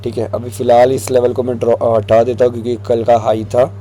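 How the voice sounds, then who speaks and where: Hoarse man explaining charts. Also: mid-range voice, fairly quick, faint music behind. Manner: relaxed, mixing English phrases, frequent "theek hai". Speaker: stock market analyst. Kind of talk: chart analysis tutorial.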